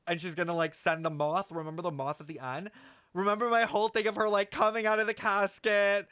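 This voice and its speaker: A man streaming casual game commentary, talking to chat in an animated, slangy, sweary way. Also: sits high, fairly quick, clean tone, dry recording, studio quality. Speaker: streamer reacting to a video game. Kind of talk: casual livestream commentary and lore speculation.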